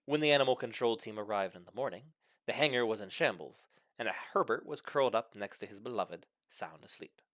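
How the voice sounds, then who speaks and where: Animated man reading a comic story. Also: lowish voice, brisk, no room sound, clean studio sound. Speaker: story reader. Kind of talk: animated scripted narration of a comic short story.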